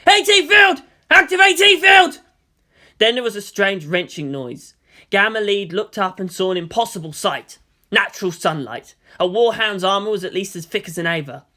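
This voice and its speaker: Woman reading a sci-fi story out loud, really lively pitch swings, sharp bright tone. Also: normal speed. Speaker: narrator reading fan fiction aloud. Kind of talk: dramatic scripted story narration.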